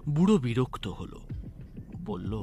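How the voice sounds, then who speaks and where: A man narrating a scripted audio story in an expressive storytelling manner. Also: medium pitch, unhurried. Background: music.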